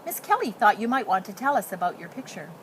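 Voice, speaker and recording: A woman's voice: mid-range voice, big pitch swings, fast, fairly bright tone. A woman voicing a friendly, welcoming female translator who helps a child in a storybook. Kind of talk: picture-book read-aloud. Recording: noticeable background noise.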